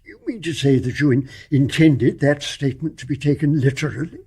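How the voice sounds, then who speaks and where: An actor playing a courteous, cautious English major in a period radio drama, hesitant and politely measured, with slight stammers. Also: male voice, fairly high pitch, a little mellow, breathy edge. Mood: shocked, placating. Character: courteous, cautious retired-officer gentleman, gently reasoning family friend. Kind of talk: 1920s stage play as radio drama.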